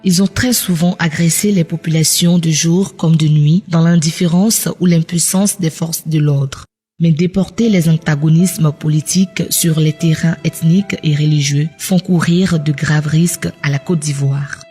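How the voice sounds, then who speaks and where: A man's voice: on the high side, steady tone, normal speed, dark tone, husky, soft background music. A man reading scripted narration for a news documentary in a formal reporting style.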